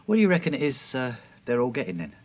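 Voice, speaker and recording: A man's voice: medium pitch, wildly swooping pitch, fast talker, a little mellow. An actor playing a cheerful, blinded working-class Englishman in a radio drama, chatty and wry, with colloquial turns and morbid jokes. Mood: wryly cheerful.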